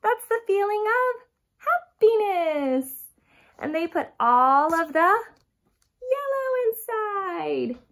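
High-pitched, animated woman reading to children. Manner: expressive, asks questions and addresses young listeners directly; dramatic gasps and emphatic, drawn-out key words. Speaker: storyteller reading to young children. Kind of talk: animated children's picture book read-aloud. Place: small furnished room at home, indoors.

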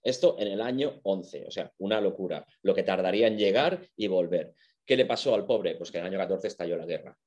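A man's voice: deep voice, fairly quick, darkish tone, muffled recording. A man with an accent from Spain, giving an informal explanatory lecture in an enthusiastic, conversational manner.

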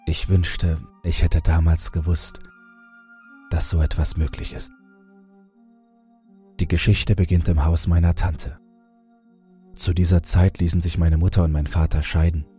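A man reading a scripted horror audiobook in a measured, dramatic storytelling manner. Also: sits low, flat delivery, warm tone. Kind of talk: scripted creepypasta audiobook narration. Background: background music.

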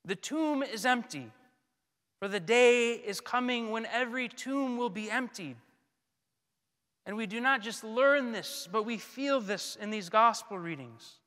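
A man in his thirties, a priest, delivering a scripted sermon in a solemn, deliberate manner with frequent pauses. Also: very high-pitched, lively intonation, bright, forceful tone. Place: large church, indoors at a pulpit. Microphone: gooseneck pulpit microphone. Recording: long church reverb.

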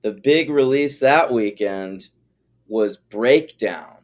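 A man's voice: medium pitch, lively intonation, slow. A man in his forties co-hosting a casual film podcast, wry and rambling, with casual swearing. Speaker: podcast co-host.

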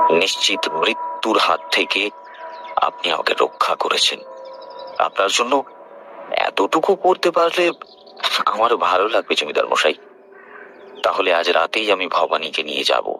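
A man playing a seasoned tantric telling a horror tale in an audio drama, grave and knowing, slow and deliberate with dramatic pauses. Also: sits low, pushed and bright, full character voice. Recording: clearly noisy background ambience.

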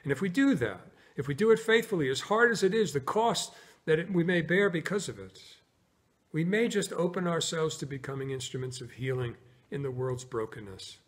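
An American man in his sixties, a pastor preaching and praying in a solemn, measured way with pauses between short phrases. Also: fairly high pitch. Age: 60s. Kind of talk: sermon, scripture reading and prayer. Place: inside a church, near the altar.

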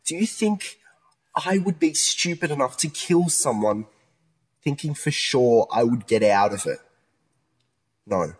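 A man acts out a troubled young suspect in a modern radio play. His voice is husky and mid-pitched. He pleads earnestly, as someone tormented by his other personality. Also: lively intonation, unhurried, long pauses. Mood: desperate pleading.

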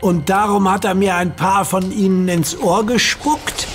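Strained young male hero, high-pitched and slow, gasping with music clearly under him. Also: fairly even intonation. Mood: pained. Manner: gasping, irritable. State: pained, strained. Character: young male superhero in agony, defiant. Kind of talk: German radio play acting.

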